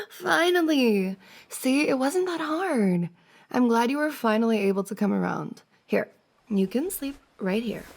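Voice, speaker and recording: A woman's voice: mid-range voice, creaky. A woman playing a playful, teasing young woman, a best friend's older sister, in a giggly, casual ASMR roleplay. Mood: mock exasperated, then playful reassuring. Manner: giggly, teasing banter with frequent soft laughs.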